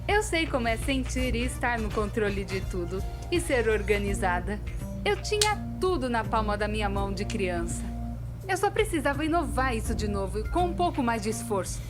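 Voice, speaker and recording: A Brazilian woman voicing a self-deprecating young woman who narrates her own animated story in a dramatic, colloquial way. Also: on the high side, normal speed. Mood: exasperated, confessional. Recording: music behind the voice.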